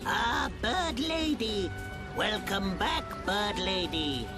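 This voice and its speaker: A woman voicing a small, cute, excitable forest creature in a video game, talking in singsong, repetitive baby-talk. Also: mid-range voice, slow pace, music in the background. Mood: welcoming.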